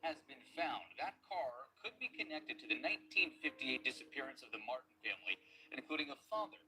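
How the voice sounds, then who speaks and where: A man anchoring a TV news story with an animated delivery. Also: medium pitch, fairly quick, a little hiss or hum, rough recording. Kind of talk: broadcast news reading.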